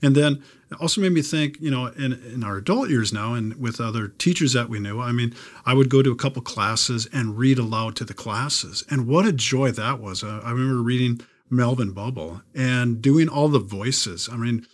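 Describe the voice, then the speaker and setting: A man's voice: mid-range voice, moderate pace. A middle-aged man in casual, reflective conversation, rambling informally as he thinks aloud.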